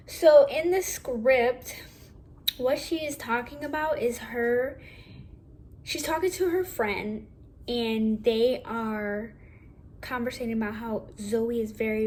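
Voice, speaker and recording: A young woman with a naturally high voice, talking casually and rambling a little as she shares acting tips from home. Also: a little mellow, a little hiss or hum. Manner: chatty, rambling, self-correcting, casual. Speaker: vlogger.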